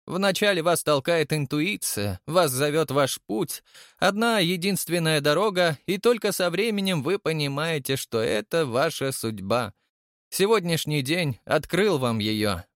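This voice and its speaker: Man voicing a solemn, stern woman elder, pitched high. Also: expressive, measured pace, a bit bright, dry recording, studio quality. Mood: solemn persuasion. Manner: solemn, formal, persuasive.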